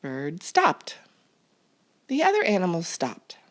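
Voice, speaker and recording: Children's storytime reader, female: lowish voice, lively intonation, deliberate picture-book narration. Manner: deliberate, expressive, child-directed.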